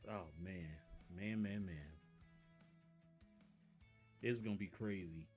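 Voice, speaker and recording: A man's voice: low-pitched, slow, a little mellow, soft background music. A man hosting a casual reaction video, rambling and restarting his sentences as he talks to his viewers. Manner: rambling, repeats himself, frequent restarts.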